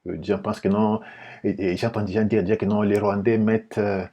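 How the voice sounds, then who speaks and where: A man with a Congolese French accent giving informal political commentary in a halting, repetitive, explanatory way. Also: lowish voice, flat delivery, dull audio. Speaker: political commentator and video host.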